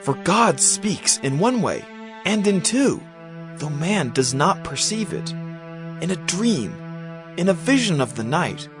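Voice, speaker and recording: A man's voice: fairly high pitch, very animated, a little slow, music in the background. An actor playing a fervent, indignant young man who rebukes his elders in a declamatory, insistent way, in a dramatized scripture reading. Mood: rebuking.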